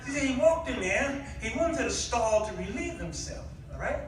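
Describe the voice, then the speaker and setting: A man preaching an animated, colloquial sermon, repeating his punchlines and acting out bits of dialogue to draw responses from the congregation. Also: extremely high pitch, moderate pace. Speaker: guest preacher. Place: church sanctuary before a responding congregation. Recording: very echoey hall, distant microphone.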